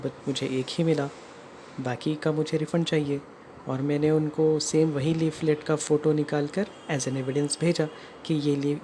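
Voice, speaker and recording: Indian vlogger over clear background noise. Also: mid-range voice, dark tone. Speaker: customer narrating own complaint as video creator. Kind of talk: explanatory vlog narration mixing in English phrases.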